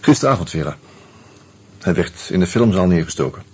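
An actor playing an uneasy murder suspect in a radio play, musing and hesitant, with a wry streak. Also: male voice, low voice, animated, a little fast, darkish tone, breathy edge. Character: uneasy male murder suspect narrating his own investigation. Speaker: actor and first-person narrator. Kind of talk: Dutch radio play, reflective narration and dialogue.

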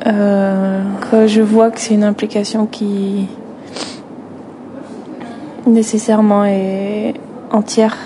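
A woman giving reflective personal testimony in a deliberate way, with frequent pauses. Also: medium pitch, little pitch movement. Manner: deliberate, pausing often. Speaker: dance student. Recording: noisy ambient background.

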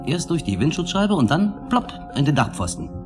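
An actor playing a chatty, obliging witness in a German radio drama, talking matter-of-factly. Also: male voice, a little high, very animated, moderate pace. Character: chatty male witness reporting to a police inspector. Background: plucked guitar music.

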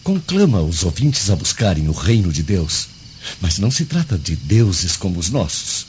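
An actor in a radio drama playing an earnest Roman guardian who reports in a measured, declamatory way. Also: male voice, low voice, very animated, darkish tone. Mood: earnest, intrigued. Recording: dull lo-fi audio with faint noise.

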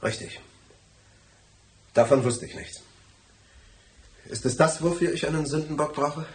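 An actor playing a domineering, impatient man in a radio crime drama, forceful and demanding. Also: a little high, unhurried, slight background noise, close to an everyday voice. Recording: echoey room.

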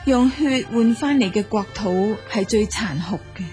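A gracious empress of ancient China, played by a woman in a Cantonese audio drama. She speaks at a medium pitch in a stately, reassuring way, with music clearly playing behind her. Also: unhurried, mellow, natural voice. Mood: admiring. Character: gracious ancient Chinese empress, praising and consoling.

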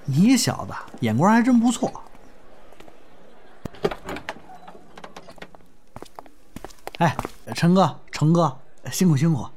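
A man's voice: on the high side, big pitch swings, normal speed, a little mellow, slight background noise. A man with a northern Mainland Chinese accent, acting a genial, streetwise young comic performer in an audio drama. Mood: eager, placating. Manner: colloquial, placating. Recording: music behind the voice.

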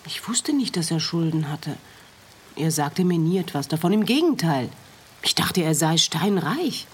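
A woman's voice: low-pitched, big pitch swings, a little slow, slightly rough, exaggerated voice. An actress playing a guarded, hesitant young woman with something to hide in a radio play. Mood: hushed, shaken. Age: young adult. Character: guarded young woman, a runaway thief confronted by detective.

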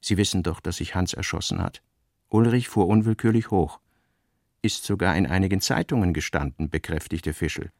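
A man reading scripted literary narration for a radio drama in a measured, sober manner, with a low, creaky voice. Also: no echo, clean studio sound.

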